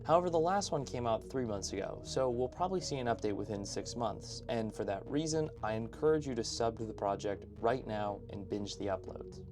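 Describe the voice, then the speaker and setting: A man's voice: fairly low pitch, brisk, few pauses. A man delivering casual, opinionated video essay commentary as a host, with informal conversational asides. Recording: background music under voice-over. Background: music.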